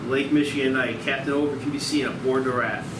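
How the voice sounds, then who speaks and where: A man's voice: medium pitch, fairly even intonation, quick pace. A man reading stage directions as narrator of a screenplay table read. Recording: echoey noisy room, far from mic.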